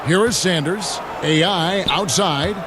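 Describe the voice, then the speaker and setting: A man playing a brisk, excitable play-by-play announcer in a basketball video game. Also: sits high, heavy background noise. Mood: brisk, excited. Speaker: play-by-play commentator. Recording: loud music and crowd behind.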